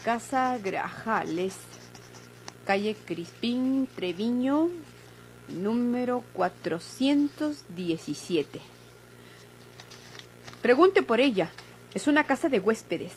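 An actress playing a composed, firm nurse in a Mexican radio soap, with formal, deliberate diction and a Mexican accent. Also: female voice, a little high, lively intonation, slight background noise, poor audio. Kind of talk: Mexican golden-age radio soap acting.

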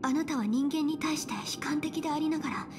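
An actress playing a gentle but resolute young woman in a video game, with soft, breathy phrasing and quiet conviction. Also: high-pitched, a little fast, lightly acted. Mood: quietly resolute. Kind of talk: Japanese video game voice acting. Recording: music under the voice.

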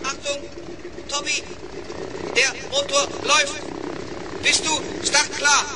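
A man playing a friendly little robot pilot in a children's radio play, calm and matter-of-fact in clipped phrases. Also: very high-pitched, slow, recorded from a distance, noisy background. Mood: brisk, alert. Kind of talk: 1970s German children's radio play acting.

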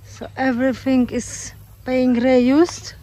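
A woman's voice: high-pitched, little pitch movement, slow pace, dark tone. A woman casually narrating a walking tour of her homestead outdoors, speaking unhurriedly with fillers and a turn of phrase. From Australia. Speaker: homesteader giving a farm tour. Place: outdoors in a rural garden with poultry. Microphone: phone camera held while walking.

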